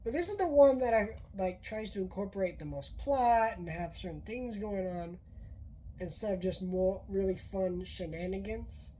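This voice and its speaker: A woman's voice: medium pitch, moderate pace, warm tone, a little hiss or hum, low-quality recording. A woman who is sick and sounds off, casually reviewing a movie in a rambling way with many fillers and restarts. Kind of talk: casual spoken film review.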